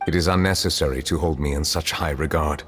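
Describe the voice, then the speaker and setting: A man voicing a formal, solemn, ancient chief justice in a video game, speaking in a measured, restrained way. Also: rumbling low, fairly even intonation, a bit bright, in a large hall, slight background noise. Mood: calm.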